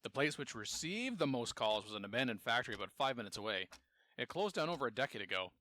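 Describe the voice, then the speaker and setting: An actor playing a guarded, businesslike police captain in a fan audio drama, speaking in a matter-of-fact official way. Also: male voice, mid-range voice, very animated, fast talker, bright, forceful tone, dry recording.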